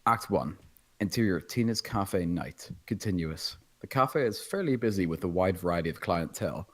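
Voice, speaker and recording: A man with a husky voice reading screenplay stage directions as scripted narration. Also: deep voice, expressive, normal speed. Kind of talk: scripted narration at table read.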